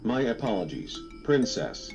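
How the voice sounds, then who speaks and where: Man acting dutiful male knight, gruff, blustering, formal, fantasy game fan dub, loud music. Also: on the low side, very animated, a little slow, pitched above the performer's own voice. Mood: apologetic.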